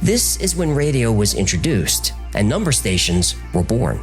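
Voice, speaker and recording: A man delivers scripted documentary narration for a podcast. His mid-range voice moves with lively, varied intonation, and soft music plays underneath. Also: mid-range voice, normal speed.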